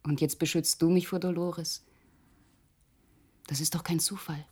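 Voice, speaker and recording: An actress playing an intimate, vulnerable lover in a German crime radio drama, husky and breathy. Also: female voice, low voice, fairly even intonation, a little slow, a little mellow. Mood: probing.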